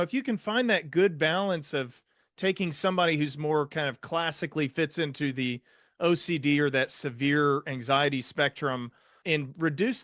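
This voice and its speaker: A man hosting a conversational interview as a fellow psychiatrist, speaking in a measured, thoughtful way. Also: on the high side, fairly bright tone, no room sound. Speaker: podcast host, psychiatrist.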